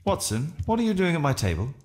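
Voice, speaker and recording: A man acting a cool, wry Victorian English detective in a video game, deliberate in his delivery. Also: a little high, sing-song, background noise. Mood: wry, intrigued.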